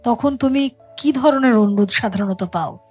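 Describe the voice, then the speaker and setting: A woman's voice: a little high, moderate pace, a little mellow, slightly rough, soft background music. A woman, hosting a conversational interview. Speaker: show host interviewing a singer.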